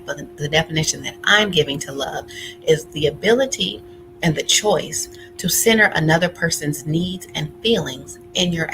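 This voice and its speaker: A woman's voice: low-pitched, gravelly, low-quality recording. A woman giving an informal explanatory lecture as a relationship workshop speaker, conversational and voicing example phrases as she goes.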